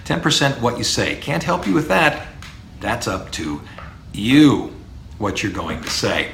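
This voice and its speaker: A middle-aged man with a baritone voice, lecturing as a speech professor on public speaking, animated and emphatic with low, punchy asides. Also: unhurried, gravelly, reverberant, slight background noise.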